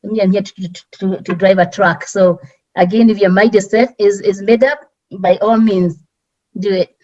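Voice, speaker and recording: A middle-aged woman from Kenya with a strong Kenyan accent, speaking earnestly as a guest on a community talk panel, often pausing and restarting. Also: medium pitch, fairly even intonation, a little mellow, muffled recording.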